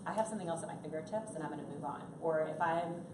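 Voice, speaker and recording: Loud, projecting woman presenter, far off. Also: sits low, very fast. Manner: upbeat, chatty, engages the audience. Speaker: conference presenter. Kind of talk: live workshop talk without a mic. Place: conference room with an audience. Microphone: no personal mic, recorded from a distance. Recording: echoey room from far off, noisy.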